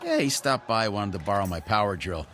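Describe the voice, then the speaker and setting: An actor playing an easygoing, oblivious husband in a television drama, with a casual, chatty way of talking. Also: male voice, on the low side, animated, brisk, music in the background, lightly acted. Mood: upbeat. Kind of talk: naturalistic television drama acting.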